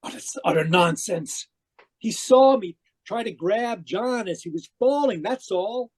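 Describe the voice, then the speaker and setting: An actor playing an obsessive, domineering uncle in an old-style radio mystery, with theatrical, emphatic delivery. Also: male voice, high voice, animated, unhurried, husky, heavily acted. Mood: indignant, evasive. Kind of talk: 1940s radio mystery acting, narrating and dialogue. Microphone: video call. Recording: muffled-edged online video call.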